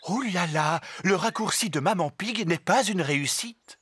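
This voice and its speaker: Lively cartoon storyteller over music. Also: male voice, high voice, normal speed. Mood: storytelling. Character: friendly adult storybook narrator of cartoon. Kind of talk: scripted cartoon narration. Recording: loud music behind.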